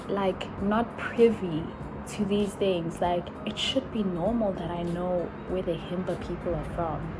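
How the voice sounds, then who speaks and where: A young woman from Africa, musing slowly in a casual travel vlog, full of sighs and likes. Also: fairly low pitch, heavy background noise. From South Africa. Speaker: vlogger. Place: in Italy while travelling.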